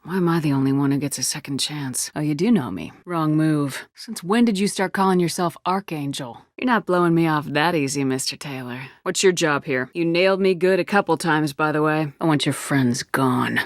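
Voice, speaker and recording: A woman's voice: low voice, normal speed, hardly any pauses, dry recording, clean studio sound. A woman acting as a tough, confident space-navy commander in a video game, with a firm, controlled voice and a blunt, curt, assertive delivery. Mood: blunt, commanding. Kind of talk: game dialogue, isolated voice lines.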